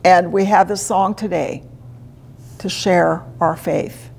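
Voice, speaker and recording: Slow, gravelly woman preaching a sermon. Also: fairly low pitch, steady tone, a little mellow. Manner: slow, deliberate preaching with emphatic pauses. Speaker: pastor. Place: small chapel in front of a stone wall.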